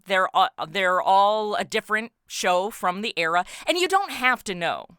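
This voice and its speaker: A woman's voice: mid-range voice, animated, normal speed, fairly bright tone, clean tone, dry recording, studio quality. A woman speaking as a podcast guest, enthusiastically explaining her work in a casual interview chat. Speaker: podcast guest, audio drama writer and actor.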